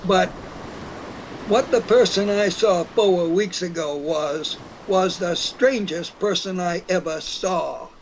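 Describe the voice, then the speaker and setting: A man's voice: high voice, slow pace, a little hiss or hum, rough recording. A man playing a folksy, stubborn man in his eighties from New Hampshire, rambling through his tale in a rural New England dialect for an audio play. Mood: confiding, defiant. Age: 80s. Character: folksy, stubborn eighty-four-year-old New Hampshire whiskey drinker. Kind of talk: first-person audio play storytelling.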